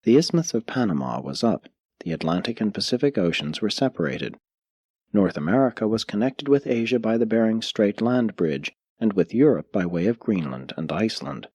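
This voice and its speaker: A man reading a book aloud as an audiobook narrator, in a measured, steady way with pauses between listed items. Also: on the low side, husky, dry recording.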